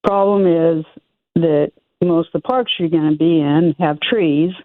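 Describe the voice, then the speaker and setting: Low-voiced older woman on a tinny phone line. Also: fairly even intonation, a little slow, no echo. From Oregon. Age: 70s. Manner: hesitant, self-deprecating, folksy. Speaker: caller. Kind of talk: phone-in question.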